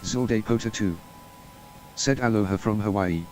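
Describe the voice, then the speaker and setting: A man's voice: on the low side, normal speed, darkish tone, faint music behind. An Australian man streaming a game, chatting casually and calling everyone mate while he reads viewer messages aloud. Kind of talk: casual gameplay stream chat.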